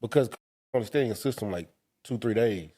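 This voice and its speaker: A man with a raspy voice co-hosting a boxing podcast, laughing a lot in casual chat. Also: fairly low pitch, moderate pace, dark tone. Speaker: podcast co-host.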